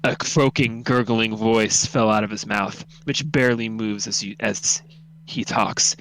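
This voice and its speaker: A man's voice: fairly low pitch, steady tone, unhurried, fairly bright tone, rough recording. A man reading a horror story aloud as narrator, dramatic, intense and suspenseful.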